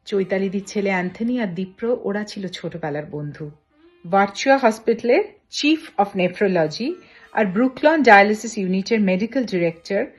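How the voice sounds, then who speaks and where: A woman interviewer with a Bengali accent and a medium-pitched voice. She introduces and questions her guest as host, with music playing faintly behind her. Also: a little mellow.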